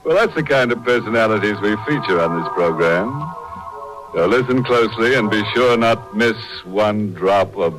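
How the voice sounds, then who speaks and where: An actor playing a gleefully morbid horror show host in a 1940s radio style, with drawn-out, mock-sinister punning delivery. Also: male voice, on the low side, lightly acted, poor audio. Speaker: host and narrator. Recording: old 1940s radio recording with music.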